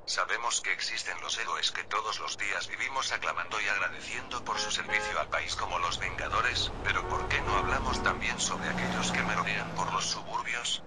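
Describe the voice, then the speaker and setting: A man's voice: on the low side, normal speed, few pauses, noisy background, lightly acted. A man playing a polished radio talk show host, reading a scripted broadcast in a formal way. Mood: formal, presenting.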